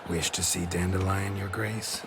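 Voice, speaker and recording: Gruff, very deep-voiced monster hunter. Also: male voice, moderate pace. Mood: restrained, probing. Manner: terse, deadpan, clipped sentences. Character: gruff, laconic male monster hunter, weary and dry. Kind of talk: video game cutscene acting.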